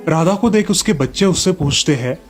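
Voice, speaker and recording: A man's voice: fairly high pitch, animated, a little fast, soft background music. A man reading scripted narration for children's moral stories as the storyteller.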